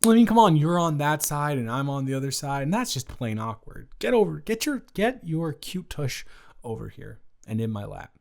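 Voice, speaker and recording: A young man playing an awkward, playful, smitten roommate in an improvised romantic audio roleplay, talking in a halting, rambling way with emphatic bursts. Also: fairly high pitch, sing-song, creaky. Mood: playful, affectionate. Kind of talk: improvised romantic audio roleplay, intimate one-sided conversation.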